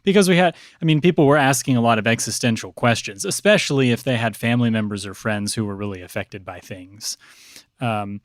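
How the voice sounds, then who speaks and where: A man speaking as a priest and interview guest, reflective, with measured, halting, thoughtful phrasing. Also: mid-range voice, dry recording, studio quality.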